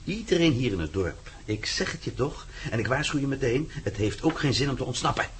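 A man plays a fanatical village cultist in a radio drama, mocking and smug. His medium-pitched voice swings in lively tones as he issues polite threats, over faint noise. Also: brisk, natural voice. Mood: smug, menacing. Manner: mock-polite, taunting. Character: menacing male village cult zealot, mocking and smug. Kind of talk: radio play acting.

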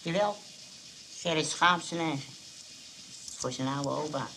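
A man's voice: fairly high pitch, measured pace, pushed and bright, a little hiss or hum, low-quality recording. A man playing a hurt, stubborn old grandfather who mutters plaintively in a radio play.

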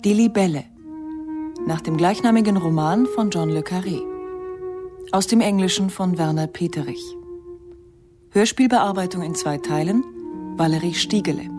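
A woman's voice: on the low side, moderate pace, long pauses. A woman reading a scripted credits announcement for a radio play. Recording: clear music behind. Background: music.

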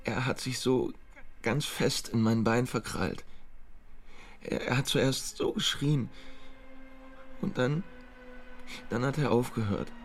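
An actor playing a frightened young male fugitive who turns himself in, talking in a halting way and stammering over repeated words. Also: mid-range voice, soft background music. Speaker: suspect turning himself in. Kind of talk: German radio drama acting.

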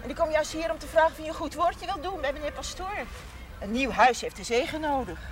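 An actress playing a proud, chatty older village wife who takes offense easily but soon calms down. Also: female voice, high voice, quick pace, crowd noise, natural voice. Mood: touchy. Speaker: shopkeeper's wife. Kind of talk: 1970s TV drama acting. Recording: noisy old TV broadcast audio.

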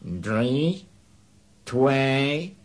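An actor playing a ruthless, commanding villain who barks threats and orders in a children's radio play. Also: male voice, mid-range voice, very animated, very slow, slight background noise. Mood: threatening. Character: menacing, commanding male robber chief, ruthless villain.

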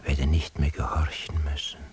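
An actor playing a detached, dreamy man thinking to himself in a hushed, brooding literary radio monologue. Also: a bass voice, flat delivery. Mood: wistful, dreamy. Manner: hushed, slow, brooding, often sinking toward a whisper. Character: detached, dreamy man lost in his solitary inner thoughts. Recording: faint tape hiss.

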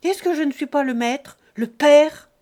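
A man's voice: very high-pitched, a little slow, a little mellow, pitched above the performer's own voice. Woman doing a roaring old peasant patriarch. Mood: furious. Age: older. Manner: roaring, threatening.

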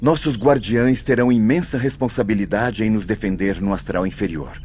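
Actor as measured Brazilian spirit guide, faint noise. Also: male voice, on the low side, darkish tone, natural voice. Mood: grave, reassuring. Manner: measured, instructive. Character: calm male spirit guide giving careful instructions. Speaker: actor in a radio drama. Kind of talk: Brazilian radio drama acting.

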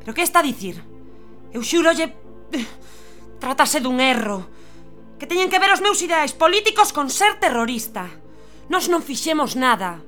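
A terrified young mother, pleads in a Galician accent, her high voice leaping wildly with fear. Clear music sits under this radio drama scene. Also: big pitch swings, normal speed, pauses often, bright, forceful tone, exaggerated voice. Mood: desperate. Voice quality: pleading, anguished. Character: frightened young mother, desperate and defiant. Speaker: young mother being arrested.

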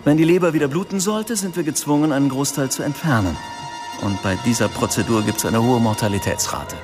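An actor playing a sober hospital surgeon in a radio drama, speaking in a formal, measured, clinical manner. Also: male voice, medium pitch, over music, natural voice. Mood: grave.

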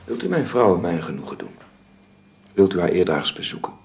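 A man acting in a radio drama as a sorrowful, gentlemanly husband, speaking haltingly and reflectively. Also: sits low, sing-song, vocal fry, full character voice. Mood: earnest, hopeful. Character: sorrowful husband of a dying woman, gentlemanly and brooding.

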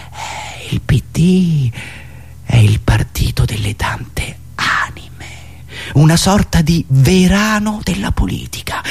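A man with a Roman Italian accent performing an ironic, theatrical satirical monologue, swinging between muttering and loud declaiming. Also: high-pitched, gravelly, slightly rough. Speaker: satirical monologue performer and radio show host.

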